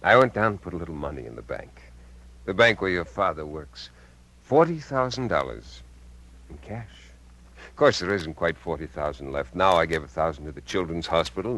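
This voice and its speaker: Low-voiced, menacing American uncle. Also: male voice, big pitch swings, a bit bright, exaggerated voice. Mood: smooth, calculating. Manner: smooth, persuasive, halting under pressure. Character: smooth, menacing middle-aged uncle hiding murderous intent. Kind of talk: 1950s American radio drama acting. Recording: vintage 1950s radio broadcast.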